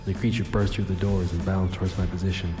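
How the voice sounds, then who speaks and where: A low-pitched, wry cyberpunk operative acted by a man narrates his own exploits in an audio drama. He is deadpan yet theatrical, with a long roomy reverb on the voice and music underneath. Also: fast talker, natural voice. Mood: tense, deadpan.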